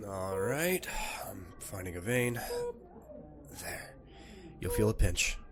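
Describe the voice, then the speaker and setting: Man acting low-voiced, reassuring emergency doctor. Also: lively intonation, slow. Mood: gentle, reassuring. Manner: gentle, reassuring, authoritative. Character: calm, reassuring male emergency doctor tending critical patient. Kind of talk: audio roleplay acting.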